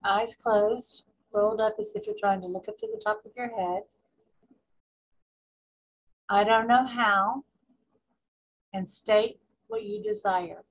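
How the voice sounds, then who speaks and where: A woman guiding a meditation as a spiritual coach, speaking deliberately in short phrases with pauses and repetition. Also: mid-range voice, steady tone, low-quality recording. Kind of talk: guided meditation with spoken affirmations.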